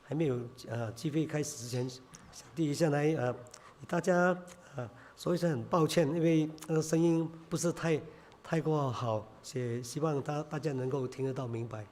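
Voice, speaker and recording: A man preaching a sermon in Chinese, hoarse from a cold, halting with many filler sounds. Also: a little high, darkish tone.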